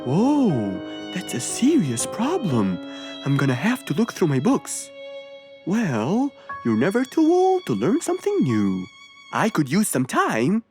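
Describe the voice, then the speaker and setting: A woman's voice: fairly low pitch, wildly swooping pitch, unhurried, music in the background. A woman voicing a kind, helpful male fox in a children's cartoon, with an exaggerated, reassuring, sing-song delivery. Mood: concerned.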